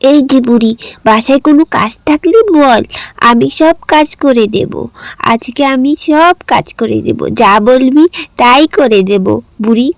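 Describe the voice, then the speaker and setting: A woman's voice: sits high, measured pace, no room sound. A woman voicing a poor, eager little village girl in a cartoon, with a wistful, pleading, childlike manner. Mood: eager, demanding.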